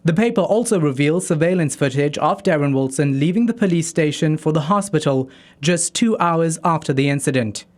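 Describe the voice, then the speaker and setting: Male news correspondent, slightly high voice, scripted report narration. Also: animated, a little fast.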